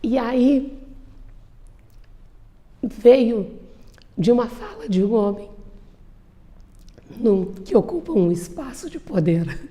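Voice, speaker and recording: A woman's voice: a little high, very animated, takes it slowly, long pauses, warm tone. A woman, a lawyer speaking earnestly in an interview. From southern Brazil. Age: 50s. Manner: earnest, emphatic. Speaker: lawyer and businesswoman. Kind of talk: interview answer.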